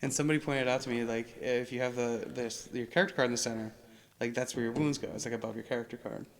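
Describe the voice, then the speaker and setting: A man's voice: mid-range voice, fairly even intonation, fast. Easygoing man chatting over a board game. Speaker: teacher of a tabletop game. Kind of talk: casual chat during a board game.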